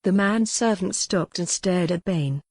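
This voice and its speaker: Woman, audiobook narrator, mellow, dry close-mic recording. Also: on the low side, unhurried, dry recording. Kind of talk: scripted narration.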